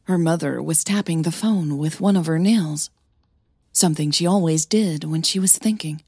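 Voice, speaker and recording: A woman's voice: sits low, normal speed, a little mellow, slightly rough, dry recording, studio quality. A woman hosting a podcast and narrating a scripted audio story.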